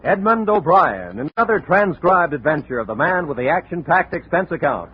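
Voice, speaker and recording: Male announcer, highish husky voice, scripted radio introduction, muffled old recording. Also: big pitch swings, moderate pace. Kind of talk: 1950s radio show introduction.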